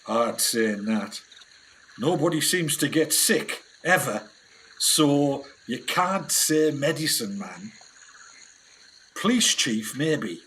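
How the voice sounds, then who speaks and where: A man acting in a radio play as a plain-spoken, wary field assistant, halting and brooding in manner. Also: medium pitch, sing-song, sharp tone, a little hiss or hum, heavily acted, rough recording.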